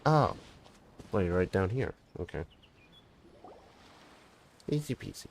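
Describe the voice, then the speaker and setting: A man's voice: deep voice, animated, slow, a little hiss or hum. A young man streaming a game, chatting casually and joking, sometimes swearing. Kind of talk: live gameplay commentary. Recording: voice over loud game music.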